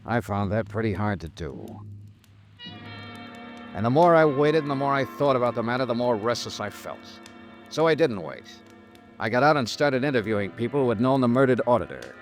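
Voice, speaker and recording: A man's voice: medium pitch, expressive, a little fast, faint music behind, natural voice. A man with an American accent playing a tough, dogged insurance investigator in a 1950s-style radio detective drama, terse and confrontational. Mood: brooding. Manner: terse, hard-boiled, confrontational. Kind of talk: 1950s radio detective drama acting, with first-person narration.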